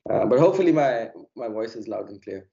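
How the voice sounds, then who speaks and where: A hoarse, unwell man giving an academic lecture over a video call, halting with many fillers and repeated words. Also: medium pitch, animated, warm tone, muffled recording. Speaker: plenary speaker.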